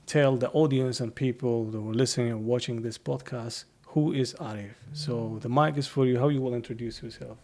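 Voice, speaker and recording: Man, podcast host, middle pitch, friendly, laughs often, loose phrasing, office. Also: steady tone, brisk, few pauses, a little mellow. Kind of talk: casual podcast interview.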